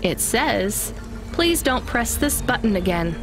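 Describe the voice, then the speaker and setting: A woman's voice: on the high side, big pitch swings, takes it slowly, noisy background, full character voice. A woman playing a young courier driver in a video game, with a dry, skeptical, understated delivery. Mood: wry, exasperated. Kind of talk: naturalistic scripted game acting.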